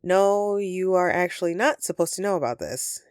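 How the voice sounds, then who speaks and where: A woman's voice: on the low side, normal speed, darkish tone, clear, resonant tone, dry recording, studio quality, close to an everyday voice. A woman playing a flustered, friendly Christmas elf secretly living as a roommate, speaking hesitantly and earnestly in an audio roleplay to the listener. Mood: earnest, insistent. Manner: hesitant, sheepish, conversational and earnest.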